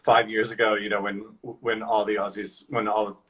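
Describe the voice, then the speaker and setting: A man's voice: on the low side, level tone, normal speed, a bit bright, poor audio. A man with an American accent, interviewing a guest in an informal, loosely phrased, conversational way. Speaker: podcast interviewer, accent coach. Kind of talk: casual interview chat.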